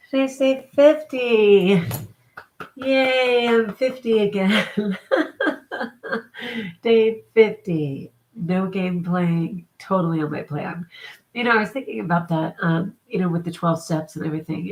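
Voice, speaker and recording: A woman's voice: mid-range voice, poor audio. A congested, middle-aged woman with a cold, chatting casually and upbeat on a live stream, laughing between remarks. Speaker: vlogger.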